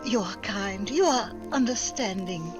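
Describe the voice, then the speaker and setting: A woman's voice: medium pitch, expressive, a little slow, over music, natural voice. An actress playing a proud, glamorous young Frenchwoman in a 1940s-style radio drama, speaking formally and romantically. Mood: tender, flustered. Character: proud glamorous young French woman, resistance money smuggler.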